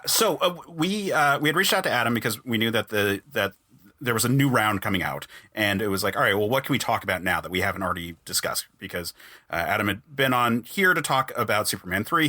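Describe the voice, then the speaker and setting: A man's voice: lowish voice, animated, brisk, sharp tone. A man hosting a podcast in a casual, chatty style. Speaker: podcast host.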